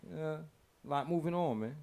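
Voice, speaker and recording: A man's voice: on the high side, normal speed, gravelly, mellow. A man who works as a DJ, chatting casually in a laid-back, slangy way. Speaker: show DJ and DJ class teacher. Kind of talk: casual chat.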